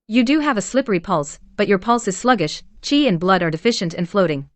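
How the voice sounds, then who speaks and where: A woman dubbing a calm, sharp-witted young woman who speaks in a cool, precise and cutting way. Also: mid-range voice, fairly quick, no echo, lightly acted. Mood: cold. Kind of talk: dubbed short drama acting.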